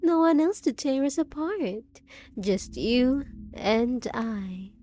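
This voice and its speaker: A woman acts out an unhinged undead bride in an audio drama, with a fairly high voice and a slow, savoring delivery. She coos pet names with sweet, possessive menace over light background ambience. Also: warm tone, slight background noise. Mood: longing, menacing. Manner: drawn-out, cooing endearments with giggles and teasing questions. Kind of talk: scripted roleplay audio drama.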